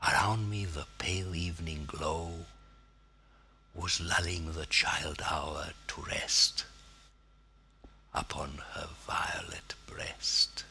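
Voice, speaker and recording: A man reciting poetry in a theatrical, declamatory manner, with weighty pauses and a husky, gravelly, breathy voice. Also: low-pitched, fairly even intonation, pushed and bright, a little hiss or hum. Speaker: poetry reader.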